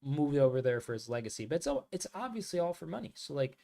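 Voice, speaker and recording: A man's voice: on the high side, very animated, fast talker, creaky, mellow. Casual man streaming a football watchalong. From United States. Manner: casual, rambling, many "like" fillers, calls viewers mate and bro. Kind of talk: live match watchalong commentary and chat.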